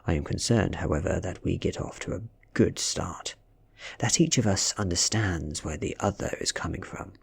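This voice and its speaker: A British man playing an irritable hard-drinking male teacher of thirty, stern and defensive yet soft-spoken, in an audiobook reading. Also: low voice, moderate pace, gravelly, husky, exaggerated voice. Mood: concerned.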